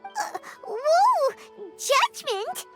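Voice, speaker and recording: A woman voicing a small, cheeky, excitable fairy companion in an anime game, with a squeaky childlike voice and exaggerated delivery. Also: very slow, full character voice. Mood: alarmed. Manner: exaggerated, exclamatory. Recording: background music behind voice.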